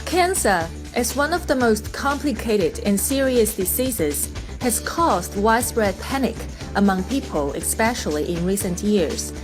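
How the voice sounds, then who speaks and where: A woman narrates a scripted feature in documentary style. Her pitch is average for a woman. Music plays clearly behind her voice. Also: big pitch swings, measured pace.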